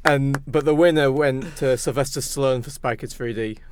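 A man's voice: mid-range voice, normal speed, darkish tone, a little hiss or hum. A man co-hosting a podcast, chatting casually about films. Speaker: podcast co-host. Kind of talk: casual film chat.

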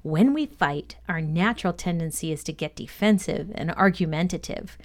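A woman's voice: on the low side, normal speed. A woman co-hosting a podcast and reading a scripted lesson on leadership. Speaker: podcast co-host and reader.

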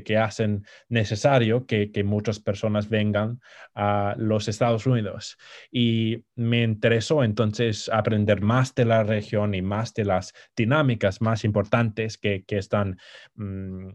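A young American man co-hosting a podcast, speaking Spanish as a learned language. His voice sits a little low. He hesitates often, restarting words and filling gaps with um and uh. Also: a little mellow. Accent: American English. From United States. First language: English. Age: 20s. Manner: hesitant, many fillers and restarts. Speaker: podcast co-host. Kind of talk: casual podcast introduction.

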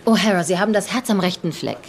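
A woman acting a composed police chief in a TV comedy-drama. She is firm yet kindly, talking quickly in a medium-pitched, wry voice. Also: natural voice. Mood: wry, reassuring. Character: composed, brisk female police superior, kindly but firm. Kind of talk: dubbed TV comedy-drama dialogue.

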